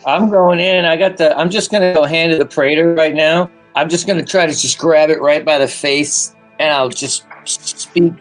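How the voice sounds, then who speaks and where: Higher-voiced man playing a tabletop game. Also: steady tone, normal speed, hardly any pauses, low-quality recording. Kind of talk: casual game-table chat. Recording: music playing under the voice.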